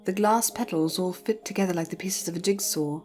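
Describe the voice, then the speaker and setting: A woman storyteller reading scripted fiction narration in a measured, careful way. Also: fairly low pitch, a little mellow, faint music behind.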